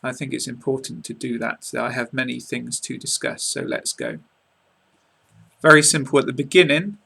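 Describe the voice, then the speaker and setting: A young English man in his twenties with an English accent, giving an online lesson to a webcam in a small room, speaking in a clear, measured teaching manner with casual asides. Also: medium pitch, very animated, pushed and bright. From England. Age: 20s. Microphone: webcam at close range.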